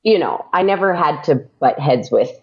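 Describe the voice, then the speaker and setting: A woman's voice: sits low, animated, moderate pace, darkish tone, highs cut off. A woman chatting casually as an interview guest. She talks freely with lots of fillers and laughs often. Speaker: podcast guest.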